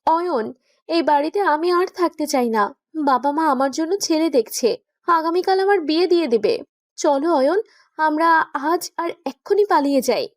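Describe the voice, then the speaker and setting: A woman acts out a lovesick young woman in a romantic audio drama. Her voice is high and insistent, anxious yet fondly bossy, in a dry recording. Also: lively intonation, normal speed, dry recording. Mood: tearful, pleading. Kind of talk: romantic audio story acting.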